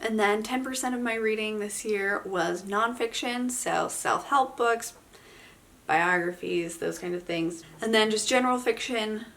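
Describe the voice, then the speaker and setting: Woman, book vlogger, casual and enthusiastic, mid-pitched voice, stuffy nasal sound from a cold. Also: unhurried, creaky, a bit bright, a little hiss or hum. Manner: chatty, informal, enthusiastic. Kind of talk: casual chatty book review.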